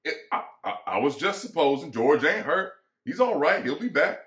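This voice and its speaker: A man's voice: fairly high pitch, big pitch swings, moderate pace, a bit bright. A man acting as bitter, lonely American ranch hand, echoey. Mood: soothing. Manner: folksy rural vernacular, musing and pressing. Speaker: actor. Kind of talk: dramatic reading of a novel. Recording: echoey room.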